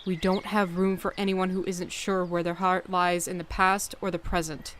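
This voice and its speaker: Woman as a stern, low-voiced cat clan leader. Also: level tone, a bit bright, slight background noise, lightly acted. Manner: measured, commanding. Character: authoritative mature female cat clan leader, measured and stern. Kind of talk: audiobook fan-dub voice acting.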